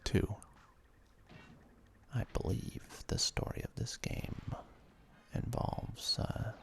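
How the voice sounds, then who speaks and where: A person whispering laid-back, halting commentary over a video game in an ASMR style, as the host of a gaming video. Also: sits low, huge pitch swings, vocal fry.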